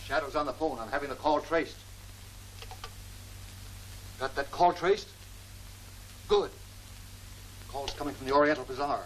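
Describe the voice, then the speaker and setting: An actor playing a brisk, businesslike police aide in a 1940s movie serial. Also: male voice, a little high, a little hiss or hum, close to an everyday voice, rough recording. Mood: brisk, urgent. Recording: old 1940s film soundtrack.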